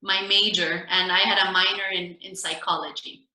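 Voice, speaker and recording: A middle-aged woman coach, a podcast guest, telling her story in an earnest, steady way over a remote call from home. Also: fairly low pitch, normal speed. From United States. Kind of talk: casual podcast interview. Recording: echoey room.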